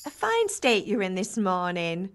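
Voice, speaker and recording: A woman's voice: mid-range voice, a little slow. An actress playing a middle-aged village mother in a medieval game, who scolds and teases with affection. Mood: scolding. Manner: nagging, teasing, animated. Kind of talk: dramatic video game dialogue.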